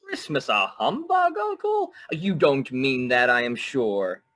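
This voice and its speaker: A cheerful young nephew for a radio drama. His voice is bright, with hugely swinging pitch and a drawn-out, slow delivery. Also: sing-song, heavily acted. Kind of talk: theatrical radio play acting.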